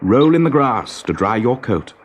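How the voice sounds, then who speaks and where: A man's voice: medium pitch, big pitch swings, normal speed, close to an everyday voice. A man voicing a firm, protective mother otter in a children's storybook, instructing and caring. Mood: gently scolding. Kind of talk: children's storybook character reading.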